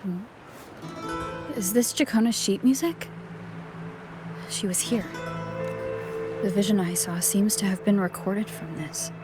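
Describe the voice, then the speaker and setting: A woman plays an inquisitive musician in a fantasy game. She muses to herself in a measured, medium-pitched voice, as if working through a mystery. Music is clear behind her. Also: lightly acted. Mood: curious. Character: pensive, inquisitive female musician investigating a mystery. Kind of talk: video game voice acting, monologue.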